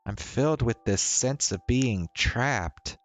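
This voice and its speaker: A man's voice: medium pitch, lively intonation, takes it slowly. A man voicing a tired, teasing and troubled young woman in a visual novel, with a casual, hesitant delivery. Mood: weary, uneasy. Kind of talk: visual novel dialogue read-aloud.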